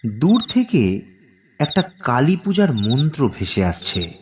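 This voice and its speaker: A man narrating a scripted horror story dramatically, in deliberate, suspenseful phrases with pauses. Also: medium pitch, big pitch swings, highs cut off, noisy background.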